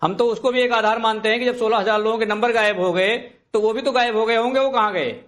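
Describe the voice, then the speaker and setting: A man's voice: sits high, fairly even intonation, fast talker, fairly bright tone. A man speaking as a party spokesman in a heated television debate, insistently repeating himself and talking over others.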